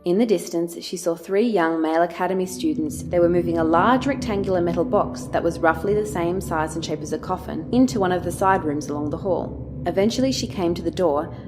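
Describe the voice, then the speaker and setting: A woman's voice: on the low side, brisk. A woman reading scripted story narration for an audio drama. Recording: music under the voice.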